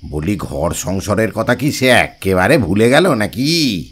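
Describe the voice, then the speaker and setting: Grumpy village dad, really deep voice, sarcastic, audio drama style. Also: male voice, very animated, unhurried, a bit bright, full character voice. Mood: grumbling. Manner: gruff, sarcastic, scolding. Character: grumbling older father, head of a village household.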